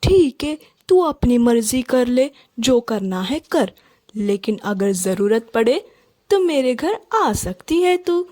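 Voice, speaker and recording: A shrill, scornful village mistress in a cartoon story, as a woman puts her on, high-pitched and taunting. Her lines come slow and dry, close to the mic with no room around them. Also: sing-song, warm tone, dry recording. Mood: scornful scolding. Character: haughty scolding village mistress, middle-aged woman. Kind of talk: Hindi cartoon voice acting.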